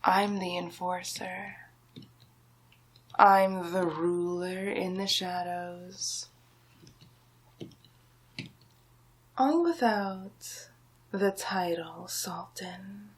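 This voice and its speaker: A smug, scheming royal vizier played by a woman in an ASMR villain roleplay, hungry for the throne. The delivery is extremely slow and deliberate, every phrase laid out with silky, theatrical menace. The voice is soft and breathy, often sinking into a whisper. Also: lowish voice, fairly even intonation, full character voice. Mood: condescending, ambitious.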